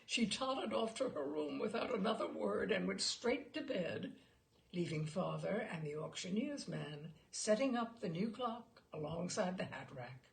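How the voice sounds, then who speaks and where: A woman's voice: on the low side, animated, normal speed, creaky. A woman reading a literary short story aloud expressively, with theatrical emphasis on key words.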